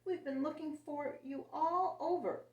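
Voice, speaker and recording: A woman voicing excited young children who are calling for their lost dog, eagerly and in a raised voice. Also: sits high, measured pace, pitched above the performer's own voice. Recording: roomy sound, a bit off-mic.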